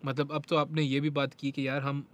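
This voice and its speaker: A man's voice: a little high, completely flat delivery, moderate pace. A man from Pakistan hosting a casual interview, enthusiastic and affirming, switching between Urdu and English with a Pakistani accent. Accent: Pakistani English, Sindhi-tinged Urdu. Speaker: interviewer host.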